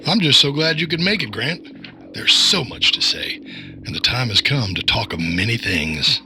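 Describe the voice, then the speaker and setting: An actor playing a sinister police officer posing as a line cook in an audio drama, unhurried, folksy and knowing. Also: male voice, medium pitch, animated, pushed and bright, faint music behind. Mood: ominously welcoming.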